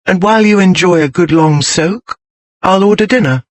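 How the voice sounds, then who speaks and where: A man's voice: high-pitched, moderate pace, dry recording, voice pushed up, lightly acted. A man voicing a strong-willed, outspoken young lady in an audiobook, with forthright, emphatic period speech. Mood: soothing, teasing. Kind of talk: audiobook character voice.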